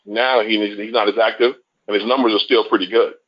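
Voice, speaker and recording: Fast-talking low-voiced man on the phone. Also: steady tone, breathy edge. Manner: folksy, informal, colloquial. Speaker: guest giving a customer testimonial. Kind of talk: casual conversational testimonial. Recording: dull lo-fi phone call.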